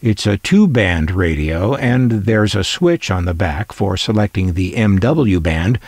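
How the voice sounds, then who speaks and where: A man's voice: low-pitched, animated, measured pace, darkish tone, no room sound. A middle-aged American man narrating in a conversational way, wry and opinionated, with dry humour. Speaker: collector presenting vintage transistor radios.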